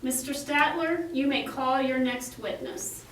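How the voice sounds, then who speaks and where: A woman playing a brisk, mildly impatient trial judge in a puppet courtroom re-enactment, with a curt, procedural manner. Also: high-pitched, fairly bright tone. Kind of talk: courtroom re-enactment read from a transcript. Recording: echoey room, distant mic, faint noise.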